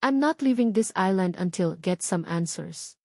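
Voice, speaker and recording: A woman's voice: on the low side, lively intonation, a little slow, creaky, dark tone, no echo, studio quality, heavily acted. A woman narrator playing a determined, wealthy businessman in an audio romance story, hesitant yet insistent.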